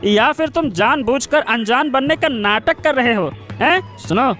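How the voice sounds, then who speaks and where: Cartoon villain: scheming chief minister, very high pitch, menacing, self-important, drawn-out delivery. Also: male voice, expressive, pushed and bright, faint music behind, exaggerated voice. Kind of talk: Hindi cartoon story voice acting.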